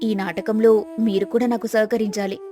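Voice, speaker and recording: A woman playing a clever, patient young village wife, polite and persuasive, in an animated moral story. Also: medium pitch, fairly quick, over music, close to an everyday voice. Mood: scheming. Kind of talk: acted moral story dialogue.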